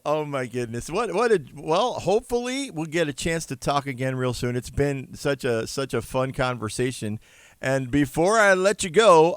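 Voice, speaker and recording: A man's voice: mid-range voice, clean tone, no echo, studio quality. A middle-aged man hosting a chatty radio interview, effusive and often repeating his phrases. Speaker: radio show host.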